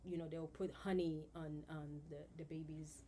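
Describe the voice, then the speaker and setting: A middle-aged woman from Nigeria speaks in a slightly low voice. She tells stories in a relaxed, chatty way, full of fillers. Faint background noise runs under the recording. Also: normal speed. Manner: warm storytelling with many fillers. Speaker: guest. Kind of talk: casual podcast conversation.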